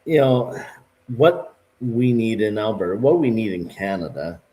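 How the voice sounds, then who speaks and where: Low-voiced Albertan man talking politics. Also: animated, measured pace, mellow, rough recording. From Calgary and Vancouver. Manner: earnest, with many restarts and "you know". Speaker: livestream co-host. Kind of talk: casual political discussion.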